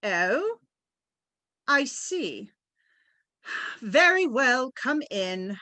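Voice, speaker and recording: A woman's voice: high voice, sing-song, drawn-out words, sharp tone, dry recording, full character voice. A woman playing a haughty, icy society wife of about forty-five in a retro radio detective drama, with a pompous, clipped, disdainful manner. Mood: frosty, formal.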